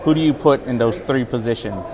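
A man from San Antonio who hosts a podcast, reading his questions briskly and affirming answers with quick yeahs. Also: medium pitch, noisy background. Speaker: podcast host.

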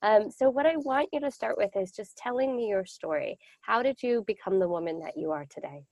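A woman's voice: medium pitch, lively intonation, fairly quick, a little mellow, no room sound. A woman hosting a podcast, upbeat and polished as she welcomes and interviews a guest. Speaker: podcast host.

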